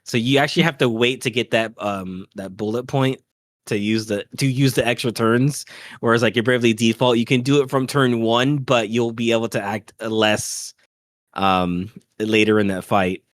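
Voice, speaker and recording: A man's voice: medium pitch, steady tone, moderate pace, dry recording, clean studio sound. A man chatting informally as a podcast co-host about games, griping in an offhand, conversational way. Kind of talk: casual chat about video games.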